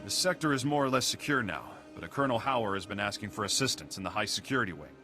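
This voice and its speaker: A firm, businesslike warden in a game cutscene. His voice is slightly low yet forceful and bright, brisk and authoritative, with music underneath. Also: animated. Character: businesslike male prison warden, firm but cordial. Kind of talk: video game cutscene acting.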